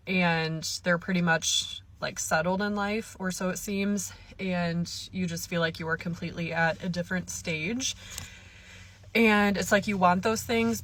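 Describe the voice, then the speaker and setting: Earnest woman in her thirties, lowish voice. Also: fairly bright tone. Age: 30s. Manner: earnest and reflective, with frequent "like" and restarts. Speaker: vlogger. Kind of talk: personal confessional monologue.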